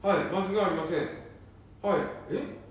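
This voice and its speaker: A man playing a gruff middle-aged son with a Nanbu dialect in an amateur radio drama. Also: high voice, steady tone, normal speed, natural voice. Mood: flustered. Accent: Nanbu dialect, northeastern Japan. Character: middle-aged eldest son, gruff, embarrassed by his father. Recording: very echoey, distant, faint noise.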